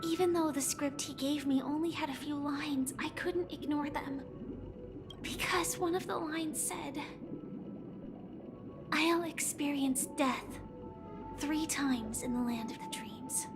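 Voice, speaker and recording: A young female fighter in a video game, gentle but resolute. Her voice is high and she speaks slowly, measured and solemn. Clear music plays underneath. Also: fairly even intonation. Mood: solemn, resigned. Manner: measured, earnest.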